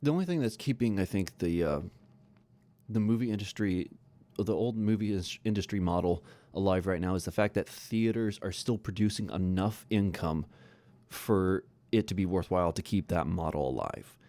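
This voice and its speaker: A man's voice: deep voice, moderate pace, warm tone. A man hosting a podcast, giving his opinions in informal, conversational talk and thinking aloud as he goes. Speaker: podcast host.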